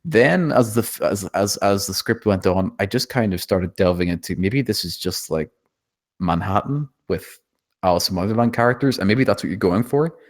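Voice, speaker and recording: Low-pitched man, hesitant and self-deprecating. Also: animated, normal speed. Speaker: table read participant giving script feedback. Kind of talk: spontaneous critique, thinking aloud.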